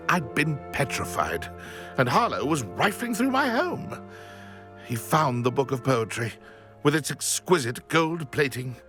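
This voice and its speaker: Casting: fearful gentleman widower, dramatic, highly varied pitch, music underneath. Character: shaken, mournful widower, refined and fearful gentleman. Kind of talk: video game voice acting, scripted dialogue.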